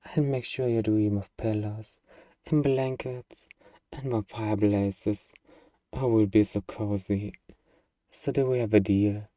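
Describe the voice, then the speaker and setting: A man's voice: fairly low pitch, fairly even intonation, takes it slowly, warm tone, no room sound, far from the mic. A man playing an eager, bargaining demon who craves a cuddly pet, in a playfully earnest, wheedling ASMR roleplay monologue. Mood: excited, cozy.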